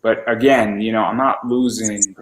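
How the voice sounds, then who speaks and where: A roomy, echoey recording of a man in his late twenties with a fairly low voice. He talks casually like a podcaster in conversation, often repeating his first words in a stammering run before getting into the sentence. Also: fairly even intonation, moderate pace. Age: 20s.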